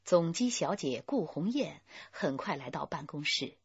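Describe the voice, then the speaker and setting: A woman's voice: low-pitched, expressive, measured pace, husky, no room sound. A woman giving scripted narration for a radio drama. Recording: muffled band-limited dry studio audio.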